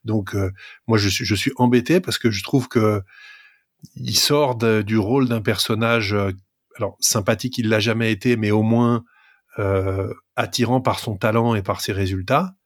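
A man's voice: fairly low pitch, normal speed, no room sound, studio quality. A man co-hosting a sports podcast, talkative and emphatic as he gives his opinions in casual discussion. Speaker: rugby podcast co-host.

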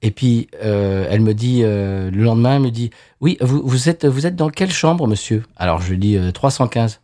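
Lively French male podcast co-host. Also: mid-range voice, moderate pace. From France. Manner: animated storytelling, mimics other people's lines. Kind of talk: casual chat. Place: lives in Louisiana.

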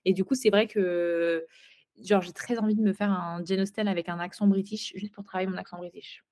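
A woman's voice: mid-range voice, steady tone, brisk, darkish tone. A woman co-hosting a casual book podcast, recorded remotely, talking in a reflective, hesitant way with many fillers. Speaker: podcast co-host. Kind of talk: casual chat.

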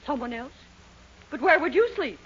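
An actress playing a bossy, excitable middle-aged mother and landlady in a 1940s radio comedy, with an emphatic, fussy delivery. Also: sits high, moderate pace. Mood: surprised, grateful. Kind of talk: 1940s radio sitcom acting. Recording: muffled 1940s radio recording.

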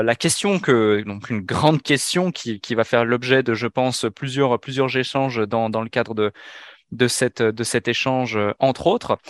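Podcast host with a dry, close sound. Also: male voice, medium pitch, dry recording. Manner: wordy, hesitant with fillers. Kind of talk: interview questions.

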